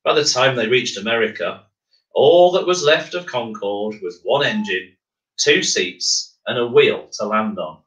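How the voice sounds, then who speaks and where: A man narrating a children's storybook in a measured, expressive way. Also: medium pitch, muffled recording. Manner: measured, expressive, clearly phrased. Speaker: bedtime story reader. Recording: roomy, echoing room.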